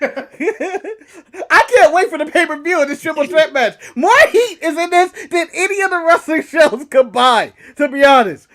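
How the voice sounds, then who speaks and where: A man's voice: very high-pitched, expressive, normal speed, a bit bright. A man co-hosting a podcast in casual, joking banter, often amused and free with swearing. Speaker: podcast co-host.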